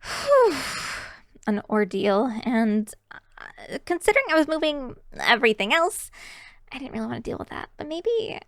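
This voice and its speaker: A woman's voice: high voice, big pitch swings, moderate pace, no echo, studio quality. A woman voicing a shy, cheery young kitsune barista in an ASMR roleplay, chatting to the listener in a bashful, hesitant, giggly way. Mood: wistful, rambling.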